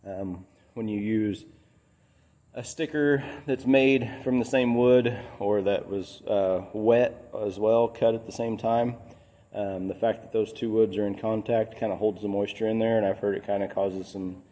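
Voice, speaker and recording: A man's voice: on the low side, fairly even intonation, measured pace, mellow. A man speaking casually and plainly as he explains a hands-on workshop project as a DIY host. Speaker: DIY builder and channel host. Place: large metal-roofed workshop building.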